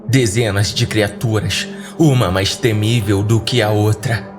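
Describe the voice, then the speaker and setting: A man's voice: fairly low pitch, steady tone, a little slow. A man with a Brazilian accent narrating a scripted horror story dramatically for a podcast. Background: background music.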